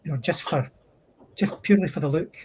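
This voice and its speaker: A man with a husky voice chatting casually as a guest on a hobby livestream. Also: on the high side, fairly quick, noisy background.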